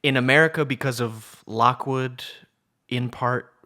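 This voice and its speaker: A man's voice: medium pitch, fairly even intonation, unhurried, sharp tone. A man on a podcast panel, thinking aloud in a hesitant, casual way.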